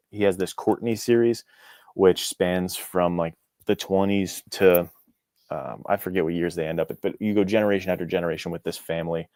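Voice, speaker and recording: Guy with a really deep voice, halting casual podcast chat, guest author, dry close sound. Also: darkish tone, no echo. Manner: informal, halting, peppered with "like" and "you know".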